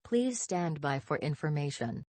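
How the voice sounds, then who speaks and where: Synthetic low female emergency announcer, very dry. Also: expressive, unhurried, darkish tone, dry recording. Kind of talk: scripted emergency broadcast announcement.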